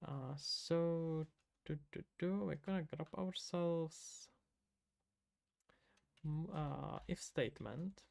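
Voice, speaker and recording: A man's voice: a little high, takes it slowly, vocal fry, pauses often, a little mellow. A man from the Czech Republic with a Czech accent, streaming live as an indie game developer, muttering to himself as he codes and casually answering chat. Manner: casual, mutters to himself while working, loose asides to chat.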